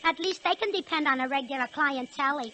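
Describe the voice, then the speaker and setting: A woman's voice: high-pitched, brisk, pushed and bright, a little hiss or hum. An actress playing a sweet, plaintive, slangy young female locomotive in 1940s radio style. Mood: wistful, slangy. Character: sweet, complaining young female locomotive, working-class girlfriend.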